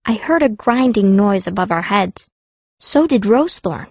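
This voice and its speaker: A woman's voice: mid-range voice, animated, moderate pace, a little mellow, dry recording. A woman narrating a fantasy audiobook in the first person, as a young girl mage telling her story.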